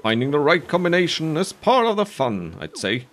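Man playing an easygoing fishing expert, lively pitch. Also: moderate pace, a little hiss or hum. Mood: easygoing, instructive. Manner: instructive, easygoing. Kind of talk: voiced video game dialogue.

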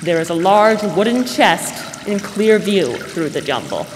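A woman's voice: mid-range voice, big pitch swings, measured pace. A young woman narrating a scripted radio drama in 1940s style as announcer on a stage. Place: large theatre stage, indoors. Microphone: stand microphone. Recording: reverberant stage hall, faint noise.

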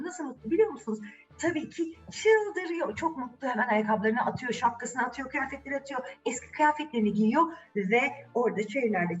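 Animated high-voiced woman telling children's tales. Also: recorded from a distance, low-quality recording. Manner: theatrical, directly addressing child listeners. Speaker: children's storyteller. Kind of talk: expressive fairy-tale narration for children.

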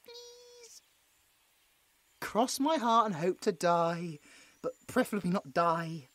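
A man's voice: sits high, wildly swooping pitch, slow, a bit bright, no echo, heavily acted. A British man playing a nervous, squeamish young man who pleads and stammers his way through a roleplay audio drama. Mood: desperate flattering. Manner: stammering, flustered, exasperated.

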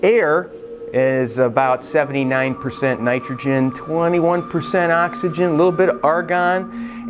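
A middle-aged American man presenting a casual science demonstration in a colloquial, playful way with joking asides. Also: medium pitch. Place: classroom laboratory, indoors. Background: music.

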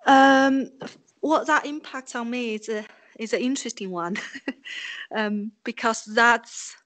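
A woman from China, a native Chinese speaker, speaking as an artist guest in an interview, reflective and hesitant with many pauses and ums. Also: fairly high pitch, fairly bright tone. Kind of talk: interview conversation.

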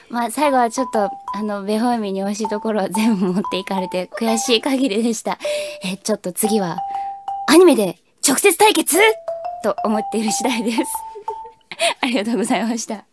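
Soft-spoken woman with a wry tone. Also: on the high side, very animated, moderate pace, over music. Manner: soft-spoken, drops to a near whisper, wry. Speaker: voice actress. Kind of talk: cast comment.

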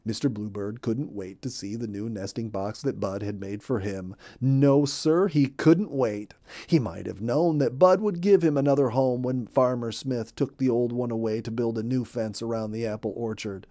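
Guy in his 50s narrating a kids storybook, soft mellow voice, medium pitch. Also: normal speed. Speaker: storybook reader. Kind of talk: children's story narration, read aloud. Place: small room indoors.

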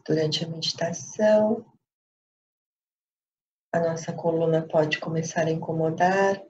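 A Brazilian woman leading a guided meditation as a teacher, speaking calmly in short phrases with long pauses. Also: lowish voice. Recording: dull lo-fi sound with light background noise.

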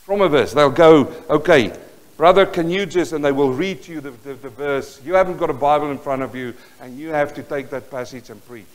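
An older South African pastor with an Afrikaans accent and a fairly high voice, preaching in English as his second language. He tells stories with animated gestures and speaks straight to his congregation in a small church hall. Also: fairly quick. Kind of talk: storytelling sermon.

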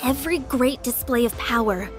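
A woman's voice: high voice, moderate pace, loud music behind the voice, lightly acted. A woman voicing a confident young warrior woman in an anime game, assured and matter-of-fact.

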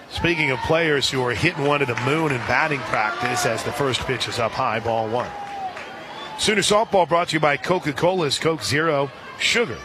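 A man calling live softball play-by-play on the radio, with sponsor reads mixed in. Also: on the high side. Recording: crowd noise and music behind commentary. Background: stadium crowd and music.

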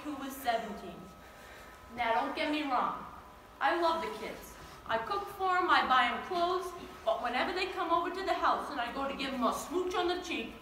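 A woman's voice: high-pitched, fairly bright tone. A young woman performing a comedic stage monologue as an exasperated old grandmother, dramatic and complaining. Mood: fond, emphatic. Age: young adult. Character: feisty, exasperated old Italian American grandmother from Brooklyn. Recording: distant, echoey, noisy hall recording.

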